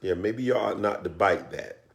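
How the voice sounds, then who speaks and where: A man's voice: fairly low pitch, moderate pace, creaky. A man, teasing his wife, then teaching about relationships on a live stream. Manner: teasing, affectionate, then earnest. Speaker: co-host of a church live stream. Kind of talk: casual chat turning to teaching.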